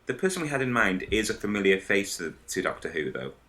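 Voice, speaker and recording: A man in a casual podcast discussion, explaining his ideas in a hesitant, halting way. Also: on the low side, big pitch swings, sharp tone. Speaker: podcast panellist.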